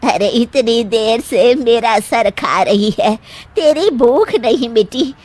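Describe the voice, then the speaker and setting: A woman's voice: a little high, animated, takes it slowly, darkish tone. A woman voicing an older, exasperated mother-in-law who fusses and scolds in a cartoon story. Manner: scolding, fussing. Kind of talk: Hindi cartoon story acting.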